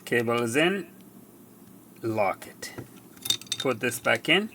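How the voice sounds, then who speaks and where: A man presenting a hands-on repair tutorial, explaining step by step in a halting way and repeating himself. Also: mid-range voice, expressive, sharp tone. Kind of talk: hands-on how-to demonstration. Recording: faint background noise.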